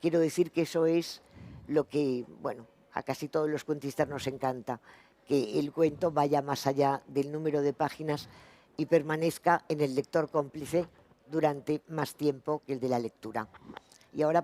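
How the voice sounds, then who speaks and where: An older woman writer speaking on a festival panel in a reflective, measured way. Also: low-pitched, fairly even intonation, mellow. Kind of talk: panel discussion. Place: festival stage.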